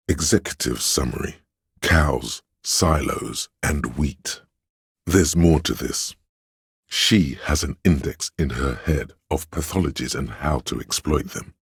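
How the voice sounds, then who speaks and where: An actor voicing an erudite, worldly inner voice of knowledge in a video game, narrating facts in a measured, dry, scholarly way. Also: male voice, very deep voice, creaky, slightly rough, no room sound, heavily acted. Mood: measured, knowing.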